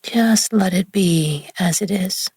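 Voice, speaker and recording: A woman leading a guided relaxation meditation in a gentle, soothing, hushed manner with long pauses between phrases. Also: lowish voice, husky, no room sound. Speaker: yoga nidra meditation guide.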